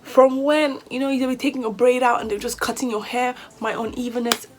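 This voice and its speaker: A woman in her twenties from Nigeria with a British accent, talking casually to camera as a hair vlogger, chatty and lively and sometimes flustered. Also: fairly high pitch, brisk, low-quality recording. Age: 20s. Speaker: beauty vlogger.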